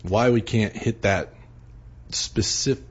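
Husky low-voiced male radio host. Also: slow pace, muffled recording. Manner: relaxed, chatty, says "man" often. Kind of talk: casual interview chat.

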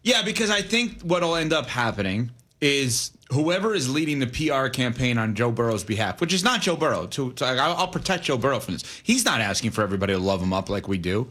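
Animated male sports radio co-host. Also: mid-range voice, a little fast, few pauses. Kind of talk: casual sports debate.

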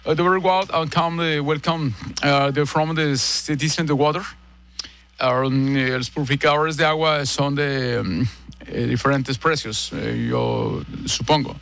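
A man playing a polite, bumbling American businessman who speaks halting, broken Spanish full of fillers and slips into English. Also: a little high, fairly even intonation, creaky, fairly bright tone. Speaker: prank caller.

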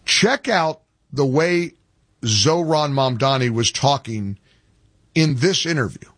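A man's voice: mid-range voice, expressive, takes it slowly, a bit bright, dry recording. A man hosting a talk radio show, delivering an opinionated monologue and pressing his listeners with pointed questions. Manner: emphatic, rising to a pointed challenge to callers.

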